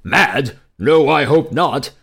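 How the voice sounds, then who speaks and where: Man acting an excitable, animated storybook king. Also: medium pitch, moderate pace, natural voice. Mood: eager bafflement. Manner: exclamatory, emphatic. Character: excitable, hearty storybook king longing for a son.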